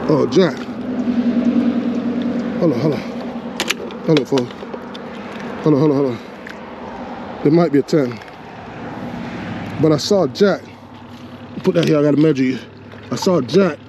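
A man's voice: on the high side, a little slow, pauses often, noisy background. A man fishing from a rocky shore outdoors, talking to himself and to the fish in casual running commentary, picked up by a body-mounted action camera. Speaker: fishing vlogger.

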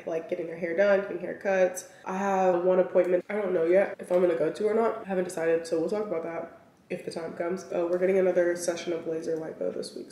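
A young woman vlogging from her kitchen and closet, her lowish voice a little raspy from a cold. She rambles with plenty of fillers, sometimes while eating. The camera sits a bit away from her, so the sound is roomy and echoey. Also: mellow. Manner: chatty, filler-heavy, talks while eating. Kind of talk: casual vlog chat. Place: home kitchen and walk-in closet. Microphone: camera set on counter at arm's length. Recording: echoey room, somewhat far from mic.

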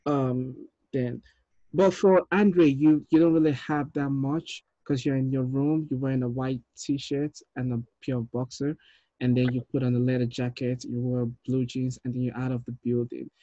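A man's voice: medium pitch, level tone, normal speed, mellow, no echo. Man directing on a dull video call. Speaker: short film director leading a table read. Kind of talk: informal meeting talk. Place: indoor room, on a video call.

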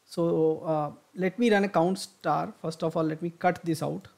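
A man gives a software tutorial over a screen recording. His voice sits slightly high and has a gravelly, creaky edge. He talks through each step as he goes, with many fillers and self-corrections. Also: steady tone, moderate pace. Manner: thinks aloud with frequent fillers and restarts. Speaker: software instructor.

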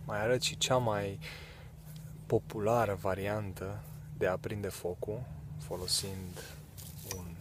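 A man in his thirties from Romania, explaining bushcraft in a relaxed, casual way in leafless woods, with fillers and short laughs. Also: fairly low pitch, background noise. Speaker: bushcraft vlogger. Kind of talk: casual outdoor tutorial. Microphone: camera close, handheld then set on ground.

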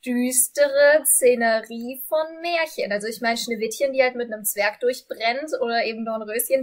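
A woman in her thirties with a fairly high voice hosts an interview. She talks in a conversational way, framing her questions at some length. She is speaking indoors on a video call. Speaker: interviewer, host. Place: indoors before bookshelves.

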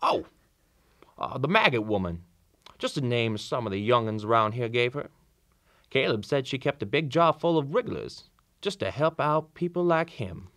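An actor playing a kindly old small-town Southern country doctor in a radio play, with a folksy, drawling storyteller's manner. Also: male voice, medium pitch, lively intonation, moderate pace, fairly bright tone, dry recording, clean studio sound. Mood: folksy storytelling. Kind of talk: old-time radio drama acting. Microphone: shared vintage-style studio microphone.

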